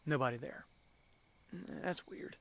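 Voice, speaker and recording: A man with young children telling ghost stories to camera in a casual, rambling way, often repeating himself and calling viewers guys, a loud talker by his own account. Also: mid-range voice, takes it slowly, creaky. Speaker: channel host.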